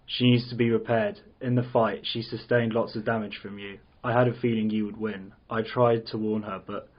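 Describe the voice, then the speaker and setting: Guy playing a cold sinister scientist boss, pretty monotone, controlling, muffled lo-fi table read recording. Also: on the low side, moderate pace, natural voice. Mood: cold, dismissive. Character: sinister, condescending male villain scientist running cruel tests. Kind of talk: amateur script table read. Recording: dull lo-fi recording.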